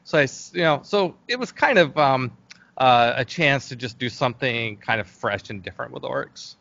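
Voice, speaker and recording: A man's voice: mid-range voice, animated, normal speed, vocal fry, sharp tone, dry recording. A man giving a casual interview as a guest, explaining his creative work in a hesitant, thinking-aloud way. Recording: dull, lo-fi call audio.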